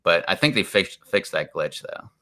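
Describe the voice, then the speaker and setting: Man in his forties, rambling casual chat, lowish voice, bright and forceful tone. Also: lively intonation, moderate pace. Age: 40s. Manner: rambling and exasperated, heavy use of "like". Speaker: live stream host.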